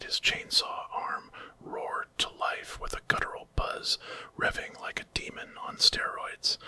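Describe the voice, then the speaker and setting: A man narrating a horror story as ASMR in a breathy, dramatic whisper from start to finish. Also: sharp tone.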